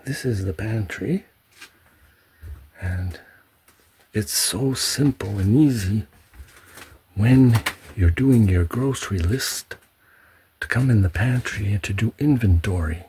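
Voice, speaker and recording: A man giving a soft-spoken ASMR home tour in a hushed, halting way, often slipping into a whisper. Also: fairly low pitch, a little hiss or hum. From Canada. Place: indoors at home, in a pantry.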